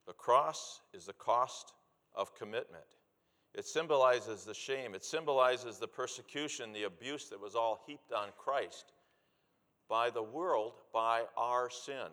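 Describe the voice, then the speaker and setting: A mature man delivers a sermon in a mid-range voice, with long reverb from a big hall around every word. He speaks earnestly and deliberately, moving between storytelling and exhortation, and repeats key phrases to press them home. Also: mid-range voice, fairly bright tone. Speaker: preacher, former missionary.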